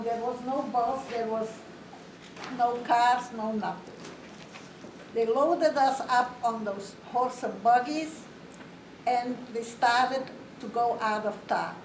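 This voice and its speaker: An older woman from Romania, giving a slow, plain, matter-of-fact spoken testimony to an audience. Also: on the high side. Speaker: Holocaust survivor speaker. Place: community hall. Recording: roomy, distant, faint hiss.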